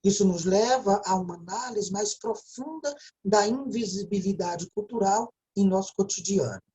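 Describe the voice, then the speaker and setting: A woman's voice: mid-range voice, moderate pace, dark tone. A woman with a Brazilian Portuguese accent gives a recorded video lecture, explaining step by step and often checking in with a tag question. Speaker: teacher.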